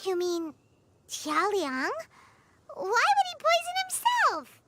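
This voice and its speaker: A woman voicing a small, excitable fairy companion girl in a game, chirpy and squeaky, who speaks of herself in the third person. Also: slow pace, very noisy, full character voice. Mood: puzzled. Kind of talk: game dialogue. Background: faint game music.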